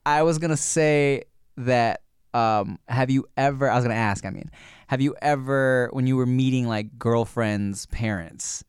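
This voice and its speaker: A man's voice: mid-range voice, measured pace, clear, resonant tone, no echo, studio quality. Laid-back man chatting on a podcast. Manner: laid-back, many fillers and restarts. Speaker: podcast co-host. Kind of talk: casual podcast chat.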